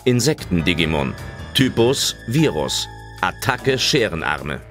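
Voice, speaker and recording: A man's voice: low voice, very animated, slow pace, fairly bright tone, faint music behind, exaggerated voice. A man narrating a German children's audio drama as a dramatic scripted storyteller.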